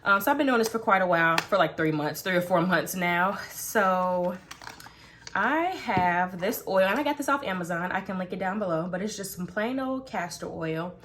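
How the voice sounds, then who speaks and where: A woman's voice: lowish voice, animated, normal speed, fairly bright tone, a little hiss or hum. Nasal, congested young woman chatting. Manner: rambling, filler-heavy, frequent "like" and restarts; talks while oiling her hair. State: pregnant. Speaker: beauty vlogger. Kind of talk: casual chat while doing her hair. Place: home vanity room, indoors.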